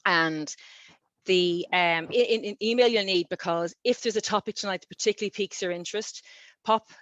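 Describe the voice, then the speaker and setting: A woman's voice: mid-range voice, fairly quick, a bit bright, no echo. An Irish woman from Wexford hosts an online meeting from her kitchen, talking in a chatty, rambling way with lots of ums. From Wexford, Ireland. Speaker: meeting host. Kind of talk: casual online meeting introduction. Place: home kitchen. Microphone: video call. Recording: dull dry video-call audio.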